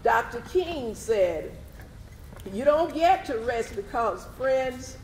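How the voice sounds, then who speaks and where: A woman's voice: a little high, expressive, slow, darkish tone, slight background noise. A woman performing scripted lines in an oratorical readers theatre style. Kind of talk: oratorical staged reading. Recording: echoey live room.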